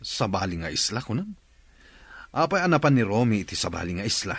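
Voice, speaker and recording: A man's voice: on the low side, sing-song, a little fast, a bit bright, slightly rough, no echo, heavily acted. A man with an Ilocano accent playing a concerned, questioning father in a radio drama. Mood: concerned, puzzled. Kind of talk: Filipino radio drama acting.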